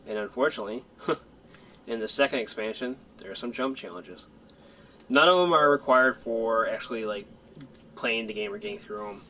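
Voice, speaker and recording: A man's voice: lowish voice, normal speed, bright, forceful tone. A man giving casual, rambling, self-deprecating commentary while playing a video game. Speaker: gaming streamer. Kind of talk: casual let's-play commentary.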